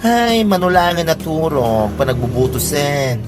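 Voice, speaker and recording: A man acts out a touchy villager from a radio comedy, defiant toward any meddling. The male voice sits a bit high, with music clearly behind it. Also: very animated, unhurried. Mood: opinionated. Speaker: radio drama actor. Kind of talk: comedy radio drama acting. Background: background music.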